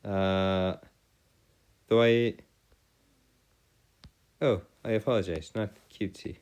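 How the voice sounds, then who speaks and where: A man tutoring a math and physics lesson in formal, old-fashioned wording, often halting and correcting himself. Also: fairly low pitch, fairly even intonation, a little mellow, clear, resonant tone.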